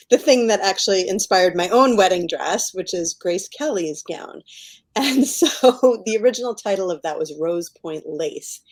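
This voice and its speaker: Chatty, enthusiastic woman with a lowish voice. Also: a little mellow. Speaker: author guest. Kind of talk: casual, chatty interview answers.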